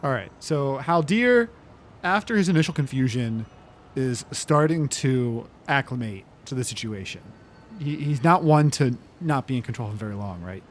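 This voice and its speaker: A man as a player in a casual tabletop game, haltingly describing what his character does. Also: medium pitch, expressive, slight background noise.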